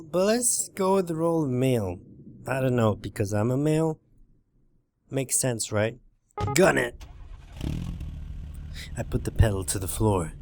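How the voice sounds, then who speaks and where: A man's voice: mid-range voice, darkish tone, slight background noise. A man hosting a gaming playthrough, reading a visual novel aloud with informal, jokey asides and occasional swearing. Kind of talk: narrated playthrough with dramatic reading and casual asides.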